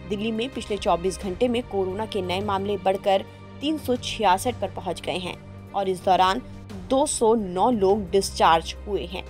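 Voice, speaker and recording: Female news presenter, mid-range voice, formal scripted bulletin read over a music bed. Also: medium pitch. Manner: formal, reading out figures. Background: background music.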